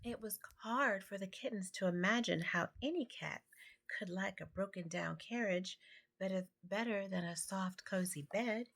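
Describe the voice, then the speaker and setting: A woman's voice: medium pitch, normal speed, fairly bright tone. A woman reading a children's picture book aloud, stumbling now and then over words. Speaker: amateur storybook reader.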